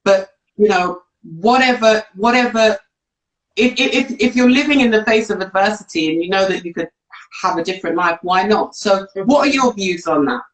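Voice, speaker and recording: Woman hosting a live chat, mid pitch, thoughtful, echoey room on a video call. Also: lively intonation, normal speed. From England. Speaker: host. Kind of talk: live-stream chat show discussion.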